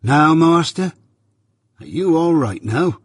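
A man voicing a mild but firm master blacksmith in a storybook reading, with simple, steady rustic speech. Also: fairly high pitch, slow pace, no room sound, clean studio sound, pitched above the performer's own voice. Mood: fair-minded.

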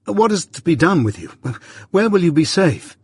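Pip, a strained, anxious young gentleman, voiced by a man. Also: high-pitched, very animated, normal speed, breathy edge, no room sound, pitched above the performer's own voice, full character voice. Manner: proper educated speech, strained. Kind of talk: audiobook character voice.

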